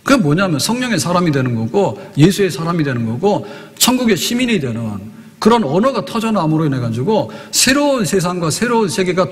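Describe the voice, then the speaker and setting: Preaching pastor in a reverberant church. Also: male voice, high voice. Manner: asks the congregation questions, emphatic. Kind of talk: sermon. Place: church sanctuary.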